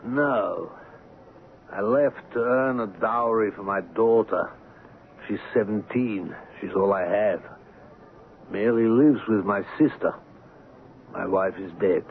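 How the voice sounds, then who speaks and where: An actor playing a weary middle-aged European ivory trader in a radio drama, formal and restrained in manner. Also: male voice, lowish voice, slow pace, vocal fry, long pauses. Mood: weary, resigned. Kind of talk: 1970s radio drama acting. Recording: muffled, noisy 1970s AM radio recording.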